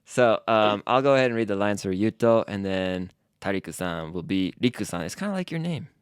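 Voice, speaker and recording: A man's voice: on the low side, lively intonation, fairly quick, clear, resonant tone, dry recording, clean studio sound. A man co-hosting a casual podcast, explaining Japanese phrases in an easygoing, fluent way. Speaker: podcast co-host teaching Japanese. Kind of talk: casual language-lesson chat.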